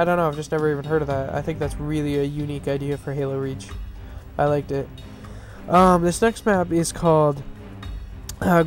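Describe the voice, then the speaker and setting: Man, nasal stuffy voice, fairly high pitch, casual rambling game commentary, lots of fillers, soft music underneath. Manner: rambling, filler-heavy, self-correcting. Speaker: map reviewer. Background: faint background music.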